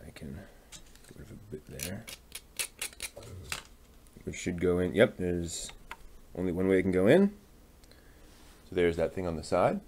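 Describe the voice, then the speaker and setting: A man casually talking through a hands-on hobby build, halting and muttering to himself as he works. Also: low-pitched, darkish tone, a little hiss or hum. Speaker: hobby channel host building a model kit on stream.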